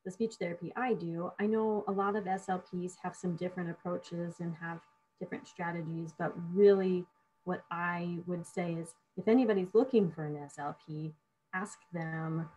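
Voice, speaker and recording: A woman who works as a speech-language pathologist, explaining her therapy approach in a relaxed conversation and working through examples of what she asks her clients. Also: fairly low pitch, moderate pace, a little hiss or hum, rough recording. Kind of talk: casual professional conversation.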